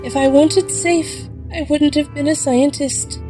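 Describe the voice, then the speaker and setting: A woman playing a gentle but resolute rabbit scientist in an audio drama, speaking softly and familiarly. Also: sits high, fairly even intonation, unhurried, music in the background. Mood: fond, resolute.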